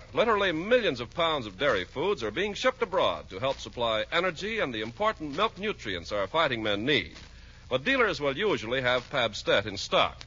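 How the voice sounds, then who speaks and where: A man's voice: mid-range voice, pushed and bright, breathy edge. A man with an American accent announcing and narrating a script in 1940s radio style. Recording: muffled old radio recording, music behind.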